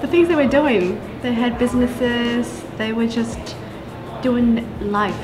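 A young woman chats easily and playfully, laughing often. Her voice sits fairly high. Music plays under her. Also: takes it slowly. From New Zealand. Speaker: vlogger answering interview questions. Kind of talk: casual chat. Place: bright café by large windows. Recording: music playing under the talk.

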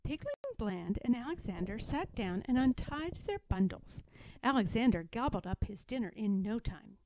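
Woman, storybook narrator, expressive, lively intonation, close dry sound. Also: on the high side, normal speed, no room sound, poor audio. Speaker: story reader.

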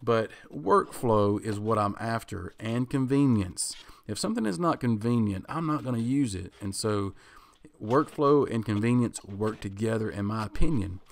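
A man in his thirties, a woodworking channel host, talking through his project in a relaxed voice-over, thinking aloud with ums and restarts. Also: on the low side, normal speed, vocal fry. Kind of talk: casual voice-over explaining a project.

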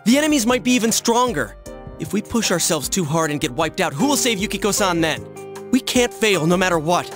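A casual American high school boy from a video game. The voice sits a little high, earnest and persuasive like a loyal sidekick, with music playing behind. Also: big pitch swings, fairly quick. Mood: urgent, frustrated.

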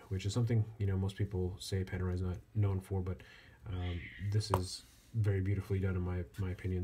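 A man giving a casual hands-on product review as a reviewer, speaking in a halting way with frequent pauses. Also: low voice. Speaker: watch reviewer. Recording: clear steady background noise.

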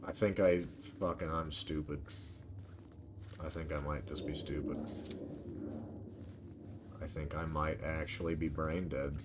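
Deep male voice, slow pace, game streamer, casual sweary commentary, thinking aloud, game noise behind. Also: flat delivery. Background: video game ambience.

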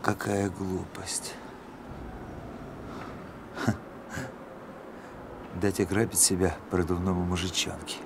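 An actor playing a weary, troubled young musician with polite, educated speech in a radio drama. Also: male voice, low-pitched, level tone, slow pace, gravelly, long pauses, full character voice. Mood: brooding, anguished. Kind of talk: Soviet radio drama acting. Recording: noisy vintage radio drama recording.

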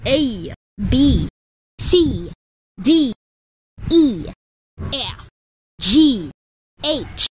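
A woman reading scripted elevator announcements in deliberate, separated words and short phrases. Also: sits high, big pitch swings, a little hiss or hum, poor audio.

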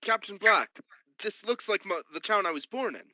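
A man's voice: sits high, expressive, moderate pace, pushed and bright, no room sound, heavily acted. A man acting a jumpy, trigger-happy junior spaceship crewman in a radio play, urgent, with muffled sound. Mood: agitated.

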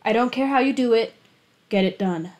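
A woman's voice: a little high, normal speed, darkish tone, natural voice. A woman voicing a ruthless male boss of secret agents in a storybook reading, curt and commanding.

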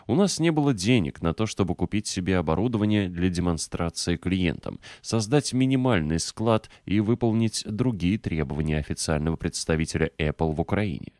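A man narrating an audiobook in a measured, clearly articulated scripted reading. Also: low-pitched, lively intonation, darkish tone, no room sound, clean studio sound.

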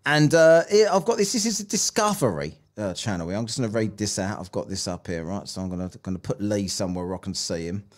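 British man, forties, podcast host, casual chat, lively intonation, rambling, sweary, close mic. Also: on the low side, fast talker, few pauses. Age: 40s. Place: small room at home. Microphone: close studio mic on an arm.

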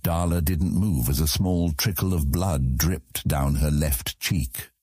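Deep husky man narrating fantasy. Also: slow, a little mellow, dry recording, studio quality. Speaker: audiobook narrator. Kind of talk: scripted fantasy story narration.

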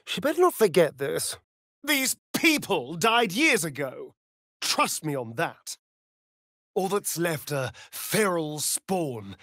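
An aristocratic English vampire rogue for a video game, sardonic and arch. He drawls in unhurried phrases, his pitch swooping theatrically. The sound is close and very dry. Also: creaky, a bit bright, no room sound, heavily acted. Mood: haughty. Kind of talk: video game character dialogue.

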